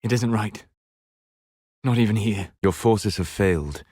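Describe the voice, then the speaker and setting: A man playing a solemn, learned elven mage in a video game, proud and regretful, speaking in a measured, formal way. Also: fairly low pitch, a little mellow, slightly rough, no echo, clean studio sound. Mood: grave, resolute. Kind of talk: scripted game dialogue.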